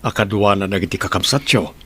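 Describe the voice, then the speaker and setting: A man with an Ilocano accent playing a gentle, hardworking middle-aged father in an audio drama. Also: lowish voice, quick pace, breathy edge, exaggerated voice. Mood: gentle, earnest.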